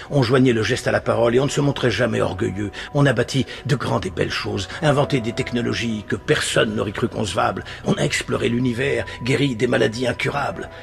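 An actor playing a jaded middle-aged news anchor in a dubbed TV drama, speaking in a biting, emphatic, rhetorical manner. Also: male voice, medium pitch, close to an everyday voice. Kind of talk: dramatic monologue in a dubbed TV series.

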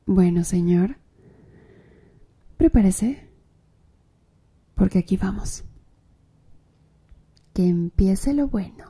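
A seductive female tattoo artist acted by a woman in an ASMR roleplay, teasing the listener in a breathy murmur. Her voice is very dark and mellow, husky, and unhurried. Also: mid-range voice, lively intonation, exaggerated voice. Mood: seductive, intimate. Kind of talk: Spanish-language ASMR roleplay.

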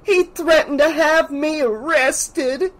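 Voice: mid-range voice, a little slow, a bit bright, husky. A narrator voicing a spiteful, scheming woman villain in an audiobook, with an imperious, theatrical manner. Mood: feigned distress.

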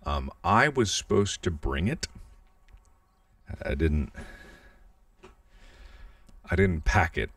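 A man in his thirties chatting casually and quietly in a small bedroom, holding a handheld mic, rambling and self-deprecating. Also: sits low, big pitch swings, slow, long pauses, dry recording, clean studio sound, distant mic. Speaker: YouTuber. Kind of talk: casual vlog chat. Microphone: handheld podcast mic, held awkwardly.

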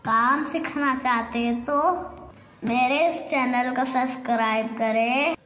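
A woman with a high voice speaks a scripted promotional message at an unhurried pace. The sound is echoey, with a long reverberant tail. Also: fairly even intonation, a bit bright.

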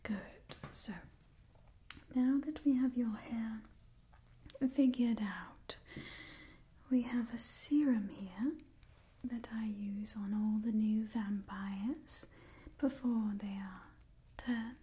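A young woman playing a refined, doting vampire clan leader in a whispered ASMR roleplay, speaking in a genteel, gently imperious manner. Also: on the high side, slow, long pauses. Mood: instructive, soothing. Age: young adult. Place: small indoor room with wooden backdrop.

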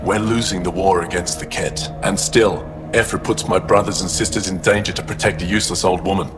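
An actor as a deep, bitter alien prisoner. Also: male voice, fairly even intonation, brisk, natural voice. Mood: bitter, regretful. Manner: weary, resentful. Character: bitter, defiant imprisoned male alien traitor. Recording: echoing cell, music behind. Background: game soundtrack music.

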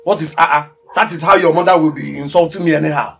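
A poor young Nigerian village farmer in a film drama. His voice sits fairly high for a man, and he stammers and repeats words in stubborn, aggrieved agitation. Faint music runs underneath. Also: steady tone, fast talker, vocal fry, fairly bright tone. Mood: angry, aggrieved. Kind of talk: Nollywood film acting. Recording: background music under dialogue.

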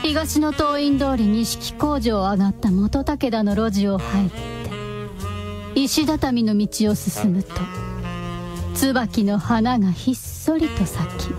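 A woman's voice: on the high side, slow pace, over music. A woman narrating a radio drama from a script.

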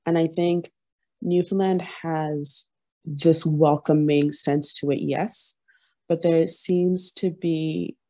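Woman, 30s, from Nigeria, deep voice, warm dark tone, earnest storytelling, podcast guest on video call. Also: slow, no echo. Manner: earnest, frequent laughter, animated storytelling. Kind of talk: candid interview conversation. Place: home room, indoors.

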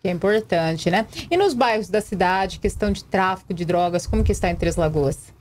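A woman's voice: mid-range voice, lively intonation, a little fast, darkish tone, slight background noise. A Brazilian woman in her thirties asking questions as a live news interviewer in a studio. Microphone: desk gooseneck microphone.

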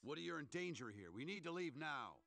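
A man's voice: fairly high pitch, lively intonation, fast, creaky, fairly bright tone. A man playing a firm, heroic toy space ranger in a storybook recording. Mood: urgent, scornful.

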